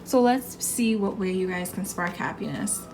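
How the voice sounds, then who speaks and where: A woman's voice: medium pitch, normal speed, noisy background. A woman with a froggy, strained voice giving an earnest, encouraging tarot reading in casual talk, often repeating herself. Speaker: tarot card reader. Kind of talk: casual spiritual pick-a-card reading. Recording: echoey room, far from mic, music behind. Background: music.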